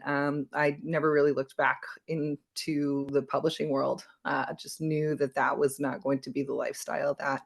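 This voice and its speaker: Gravelly-toned woman telling her story. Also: low-pitched. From Belgium. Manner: reflective, with frequent ums and restarts. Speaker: wellness coach, interview guest. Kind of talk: conversational podcast interview.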